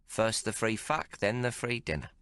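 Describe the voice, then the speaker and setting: A man's voice: on the low side, normal speed, a bit bright, smooth, no echo, voice pushed up, natural voice. A man reading aloud and voicing a coarse, fiery young female prostitute. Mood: brazen. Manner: coarse, bold, mocking.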